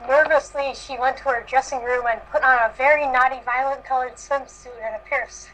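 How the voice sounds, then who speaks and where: A woman's voice: high voice, steady tone, a little fast, a bit bright, a little hiss or hum, poor audio. A woman reading fan fiction aloud, struggling to keep a straight face. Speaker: reader in a group comedy reading.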